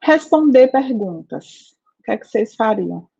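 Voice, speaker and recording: A woman's voice: a little high, lively intonation, measured pace, gravelly, dark tone, breathy edge. Brazilian woman teaching with dull audio. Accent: Brazilian Portuguese. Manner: conversational, frequent "né?" and "tá?" checks. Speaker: programming teacher. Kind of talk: live online class, asking students questions.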